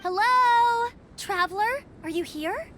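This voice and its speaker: A woman playing a friendly, energetic young female scout in a video game. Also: extremely high pitch, takes it slowly, very noisy, exaggerated voice. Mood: cheerful.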